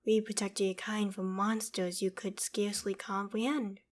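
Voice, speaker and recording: A woman's voice: mid-range voice, little pitch movement, normal speed, lightly acted. A woman playing a proud, ancient female dragon in an audio roleplay, speaking in formal, archaic phrases with a haughty, theatrical manner that still holds fondness for humans. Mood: haughty, offended. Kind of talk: scripted audio roleplay monologue.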